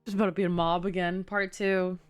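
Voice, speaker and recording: A woman's voice: fairly low pitch, flat delivery, brisk, clear, resonant tone. A young woman game streamer giving casual, slangy live reactions in a chatty way. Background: game music.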